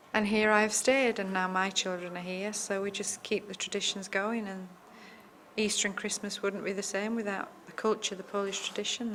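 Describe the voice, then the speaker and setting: A middle-aged woman, a teacher at a Polish school, speaking in a measured, matter-of-fact way in an interview. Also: medium pitch, a bit bright, slight background noise. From England. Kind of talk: oral history interview.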